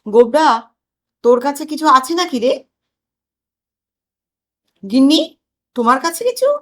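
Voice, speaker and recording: A woman's voice: high-pitched, measured pace, fairly bright tone, pitched above the performer's own voice. A woman voicing a blustering, scheming older family man in a comic audio story, exclaiming and sure of himself. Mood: anxious, questioning.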